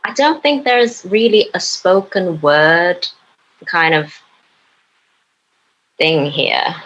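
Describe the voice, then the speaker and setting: A young woman from Newcastle upon Tyne, a poet talking as a podcast guest in a hesitant way, with many pauses and fillers. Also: fairly low pitch, lively intonation, a bit bright, highs cut off, a little hiss or hum. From Newcastle upon Tyne, England. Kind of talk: casual interview chat.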